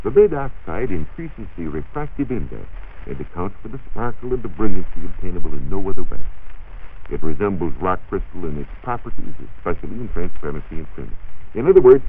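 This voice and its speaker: A very low-voiced American man explains science in a measured, precise manner, with lively swings in pitch. His voice has a husky edge. It comes through a narrow, phone-like 1940s radio recording. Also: slight background noise. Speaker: science reporter answering listener questions. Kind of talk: 1940s radio science talk, partly scripted.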